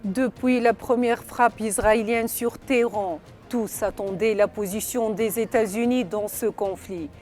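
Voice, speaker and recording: A woman narrating a scripted news report in a formal, measured delivery. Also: medium pitch, darkish tone. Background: background music.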